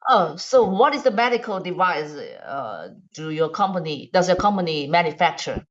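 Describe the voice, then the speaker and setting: A woman who is a native Chinese speaker with a Chinese accent, chatting casually in halting English and often repeating words. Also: lowish voice, sing-song. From China. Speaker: medical device regulatory consultant. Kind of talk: casual language-practice chat. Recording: dull lo-fi online call audio.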